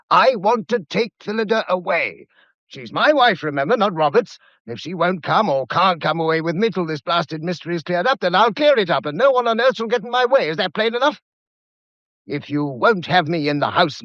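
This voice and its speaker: An actor playing a stubborn, brusque adventurer husband in a period drama, speaking insistently and declaiming. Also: male voice, on the high side, a bit bright, no room sound, lo-fi sound. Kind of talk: period radio drama acting.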